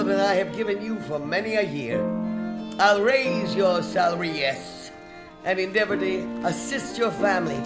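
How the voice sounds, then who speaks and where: A woman's voice: medium pitch, natural voice. A woman playing a joyful, generous reformed old male miser, theatrically and exuberantly, in a live radio drama. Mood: jubilant. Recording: live stage, music and audience behind.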